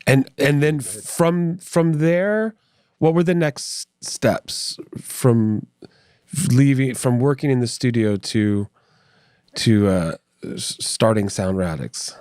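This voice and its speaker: Host guy, mid pitch, dry close mic, long loose questions. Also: expressive, slow pace, darkish tone, dry recording. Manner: affirming listener, rambling multi-part questions. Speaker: podcast host. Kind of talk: casual interview questions.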